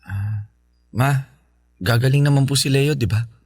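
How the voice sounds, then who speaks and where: Hesitant, caring schoolboy. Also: male voice, mid-range voice, darkish tone, breathy edge, no echo, studio quality. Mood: worried, hesitant. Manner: hesitant, halting, innocent. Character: caring, worried schoolboy, older brother and polite son. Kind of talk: Filipino radio drama acting.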